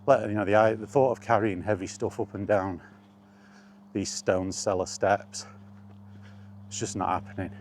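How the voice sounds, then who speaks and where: A man's voice: fairly low pitch, fairly even intonation, normal speed, pauses often, slight background noise. A middle-aged British man casually rambling through personal memories, as in an informal vlog. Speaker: vlogger.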